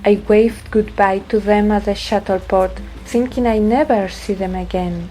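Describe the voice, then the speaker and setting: A woman acting in a sci-fi audio drama as a resolute, stubborn pregnant pilot, speaking calmly and firmly. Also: mid-range voice, soft background music, lightly acted, rough recording. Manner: deliberate, firm.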